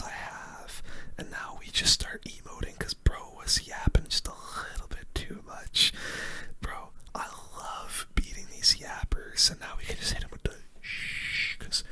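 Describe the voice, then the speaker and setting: A gaming host giving hushed, casual ASMR commentary in a whisper, using relaxed gamer slang.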